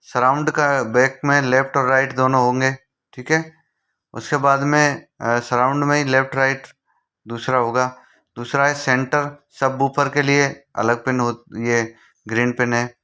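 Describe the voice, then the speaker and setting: Clear, bright-voiced Indian man teaching. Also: medium pitch, flat delivery, measured pace. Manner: repeats and rephrases points, often checking in with listeners. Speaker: technical instructor. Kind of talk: informal explanatory tutorial.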